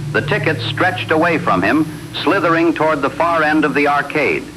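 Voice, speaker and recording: A man's voice: animated, moderate pace. A man reading a scripted horror story as narrator. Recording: loud rumbling radio-like background noise.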